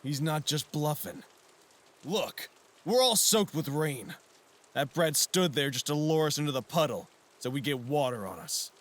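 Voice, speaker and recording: Violent gang leader, played by a man for audio manga: menacing, highish, very animated pitch, unhurried. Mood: smug menacing. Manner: sneering, menacing. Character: cocky violent street thug gang leader. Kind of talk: audio manga dramatic acting.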